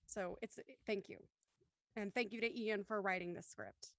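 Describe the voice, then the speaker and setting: A woman's voice: medium pitch, steady tone, normal speed, fairly bright tone, no room sound, clean studio sound. A woman hosting and moderating a casual panel discussion. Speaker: host, moderator.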